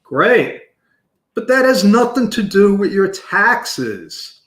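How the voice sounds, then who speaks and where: A man hosting a business show, talking loudly and passionately with emphatic restarts. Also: high-pitched, big pitch swings, takes it slowly, reverberant. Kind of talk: unscripted talk to camera.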